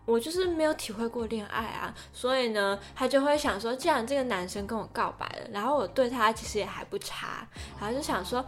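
A woman's voice: on the high side, a little fast, few pauses, soft background music. A young woman co-hosting a podcast in a casual, chatty style. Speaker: podcast co-host.

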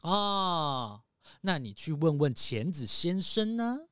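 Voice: medium pitch, big pitch swings, slow, fairly bright tone, clean tone, no room sound, studio quality. A voice actor playing an irritable, self-centred young fox guide who argues and complains in a children's audio drama. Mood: indignant complaining. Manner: indignant, argumentative, complaining.

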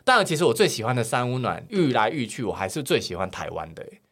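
Man, Taiwanese accent, quick pace, very animated intonation, campy, playful podcast chat. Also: mid-range voice. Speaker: podcast guest. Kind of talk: casual chat, comic storytelling.

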